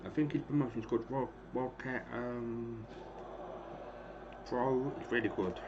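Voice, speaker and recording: A hoarse man in his thirties casually calling the action of a wrestling game he is streaming, rambling and clearing his throat often. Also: medium pitch, fairly even intonation, measured pace. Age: 30s. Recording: dull lo-fi stream audio with clear noise.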